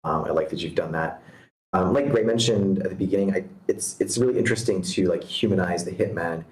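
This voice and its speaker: Deep-voiced man, halting, in an echoey room. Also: darkish tone. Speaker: screenplay reader giving feedback. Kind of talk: casual, constructive script feedback. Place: online video meeting.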